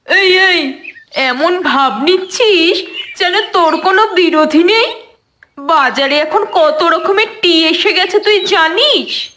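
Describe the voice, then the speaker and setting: A woman's voice: very high voice, slow, sharp tone, exaggerated voice. A woman voicing a boastful, competitive cup of coffee in a comic cartoon quarrel, bragging and teasing with emphatic delivery. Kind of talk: cartoon audio comedy dialogue.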